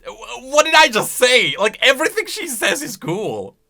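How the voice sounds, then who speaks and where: A man giving casual, emphatic commentary as a gaming YouTuber, excitable in his delivery. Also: extremely high pitch, moderate pace, gravelly. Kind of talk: casual lore commentary, reacting to voice lines.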